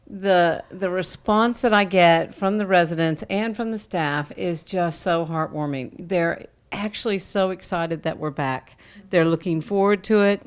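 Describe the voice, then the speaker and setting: A woman's voice: fairly low pitch, smooth. Laughing woman from Arkansas. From Arkansas, United States. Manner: warm, laughs easily, earnest. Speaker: volunteer practitioner. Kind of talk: casual personal testimony.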